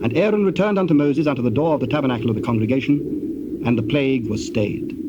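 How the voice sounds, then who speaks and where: A man narrating scripture in archaic English, measured and formal, as a storyteller. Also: medium pitch. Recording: muffled tape with loud background noise.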